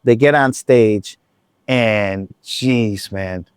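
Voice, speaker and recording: A man's voice: fairly low pitch, extremely slow, clean tone, no room sound, clean studio sound. A man telling a concert story in a casual, rambling vlog chat, full of restarts and asides. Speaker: vlogger.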